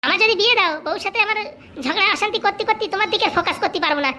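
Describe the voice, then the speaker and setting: A woman's voice: very high-pitched, pushed and bright, slight background noise, heavily acted. A woman voicing a cunning, boastful young male political fixer in a comic cartoon, brash and bossy, with a rural Bengali accent. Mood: smug, cocky. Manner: brash, bossy, fast-talking.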